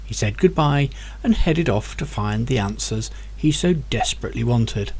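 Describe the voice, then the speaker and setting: A man reading his own novel aloud as an audiobook, with clear, expressive storytelling. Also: mid-range voice, normal speed, low-quality recording. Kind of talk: audiobook narration.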